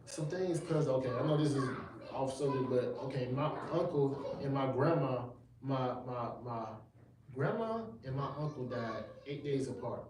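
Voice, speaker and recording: A man's voice: mid-range voice, animated, normal speed, darkish tone. Rambling man, echoey and off-mic. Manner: rambling, slangy, many restarts. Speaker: gospel rapper guest. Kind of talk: casual livestream interview. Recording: echoey room, distant mic, faint noise.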